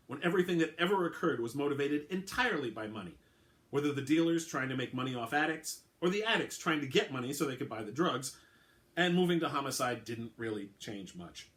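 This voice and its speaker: A man narrating his own short story in a calm, measured reading. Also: mid-range voice.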